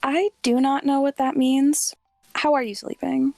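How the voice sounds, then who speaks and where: Terse female producer acted, gravelly fry, dry close sound, matter-of-fact. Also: high voice, little pitch movement, unhurried, darkish tone, dry recording, faint music behind. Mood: curt. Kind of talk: audio drama dialogue.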